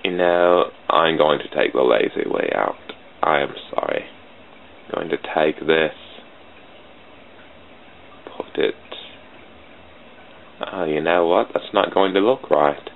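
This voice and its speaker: A man's voice: extremely low pitch, slow pace, creaky, pauses often. A man with a British accent giving rambling, self-deprecating commentary to himself while drawing on a computer, recorded at a home desk on a cheap computer mic. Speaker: channel host drawing sprites. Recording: tinny phone-like lo-fi mic with faint hiss.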